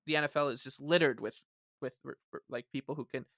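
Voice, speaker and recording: A man co-hosting a casual sports podcast, rambling along in an animated, emphatic way. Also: medium pitch, quick pace, no echo, clean studio sound. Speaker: podcast co-host.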